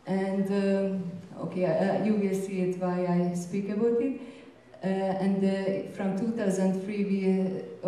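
In a very reverberant room, heard a little from the microphone, a woman with a Hungarian accent presents to a conference. She speaks slowly and hesitantly, pausing often as she searches for words. Her delivery stays fairly flat in pitch. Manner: halting, hesitant non-native English with frequent pauses. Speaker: gallery curator and presenter. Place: indoor presentation room, seated at a panel table. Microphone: handheld microphone through room sound. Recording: very echoey room, distant, faint noise.

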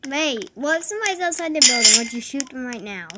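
A high-pitched woman asks question after question as she watches someone play. Her voice is casual and inquisitive, and loud noise sits behind it. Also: lively intonation. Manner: asks many quick questions. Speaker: co-player commenting. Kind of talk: casual chat.

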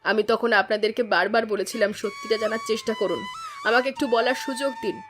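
Woman acting plaintive, high-pitched cartoon village aunt. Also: quick pace, natural voice. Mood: hurt, reproachful. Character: wronged, kind, dignified village aunt, long-suffering. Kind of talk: Bengali moral-tale cartoon dubbing. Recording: prominent music behind the voice.

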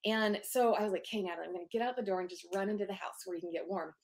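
Soft-spoken woman, thirties, gravelly fry, candid storytelling, video call from home. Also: mid-range voice, very fast. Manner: open and earnest, many fillers. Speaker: guest. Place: home office.

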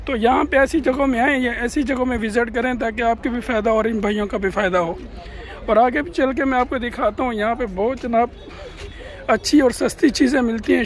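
A man vlogging as he walks through an outdoor street market, chatting to viewers and calling them "janab", recorded on a handheld phone. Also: extremely high pitch. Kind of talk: walking market tour vlog. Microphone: phone camera held by the walking speaker.

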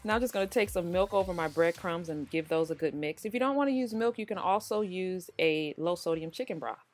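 Casting: female cooking host, lowish voice, chatty informal recipe voice-over, light music bed. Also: a little fast. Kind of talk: casual recipe tutorial narration. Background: background music.

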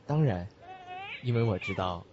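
A man playing a warm, grateful new father in an audio drama. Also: fairly low pitch, animated, normal speed, noisy background, lightly acted. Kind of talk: Chinese audio drama acting. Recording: muffled recording under loud music.

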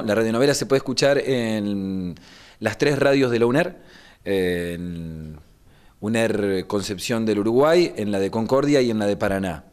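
Argentine male producer, 40s, interview explanation, low pitch, searching delivery. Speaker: radio producer. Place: small wood-panelled room indoors. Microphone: microphone just in front.

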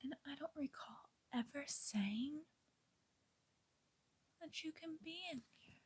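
A young woman in an ASMR roleplay playing a bossy, controlling girlfriend, speaking in slow, menacing, breathy whispers close to the mic in a small bedroom. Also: sits high. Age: young adult. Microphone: close camera mic.

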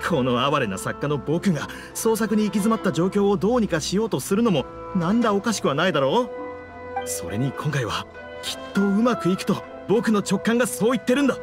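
A man's voice: high voice, sing-song, fairly quick. A man playing a stubborn, theatrical, pleading struggling writer in an anime game. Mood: pleading, insistent. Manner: theatrical, exasperated, insistent. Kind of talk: Japanese anime game voice acting. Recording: background music under the voice.

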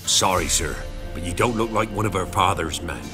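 A man voicing a humble, wary peasant in a video game, with a rural English accent and a halting, deferential manner. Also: low-pitched, close to an everyday voice. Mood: resigned. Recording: loud music bed.

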